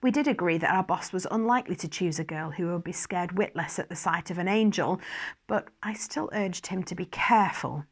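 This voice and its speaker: A woman reading scripted first-person narration aloud in an expressive storytelling style. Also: lowish voice, fairly quick. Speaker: story reader.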